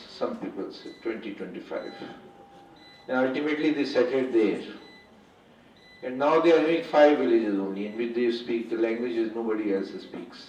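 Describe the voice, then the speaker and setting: An Indian man whose first language is Urdu gives an unscripted formal address at a symposium. He speaks in a halting way and often restarts and corrects himself. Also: medium pitch, darkish tone. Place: large lecture hall. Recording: echoey hall, distant, muffled.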